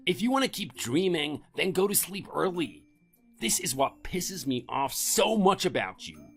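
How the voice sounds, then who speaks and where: A man's voice: on the high side, creaky, a bit bright, breathy edge, heavily acted. An actor playing a domineering, contemptuous middle-aged husband scolding his wife in a scripted audio drama.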